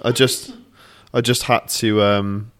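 Laid-back man, low voice. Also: steady tone, slow pace, a bit bright. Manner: laid-back, playfully mocking. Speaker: podcast co-host. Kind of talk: casual chat.

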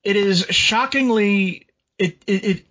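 Halting man reading on a lo-fi podcast. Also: high-pitched, bright, forceful tone. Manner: halting, many restarts and repeated words. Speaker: podcast co-host. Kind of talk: casual podcast chat and read-out history segment. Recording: lo-fi, dull sound.

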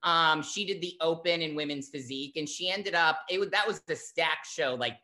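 A fitness coach talking in a casual interview, animated and chatty, with lots of fillers. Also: mid-range voice, moderate pace.

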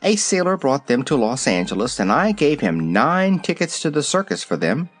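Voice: mid-range voice, sing-song, moderate pace, faint music behind. A narrator voicing a little male humbug wizard in an audiobook, candid and explanatory. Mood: matter-of-fact. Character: little old humbug wizard, kindly showman. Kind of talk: audiobook character voice.

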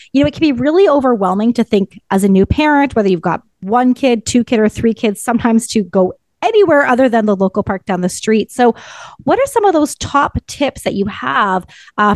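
A woman's voice: mid-range voice, no echo. A woman hosting a podcast, asking rambling, friendly questions. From Canada. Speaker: podcast host. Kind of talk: casual interview.

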